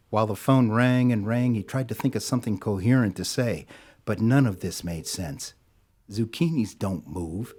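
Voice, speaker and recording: A man's voice: fairly low pitch, a little mellow. A man narrating a scripted audio drama as a dramatic storyteller. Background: music.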